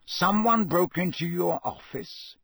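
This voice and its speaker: Man acting gruff old wizard veteran, growling, slow, needling, menacing, clean dry audiobook sound. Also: sits high, expressive, a bit bright, dry recording, voice pushed up, heavily acted. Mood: suspicious, needling.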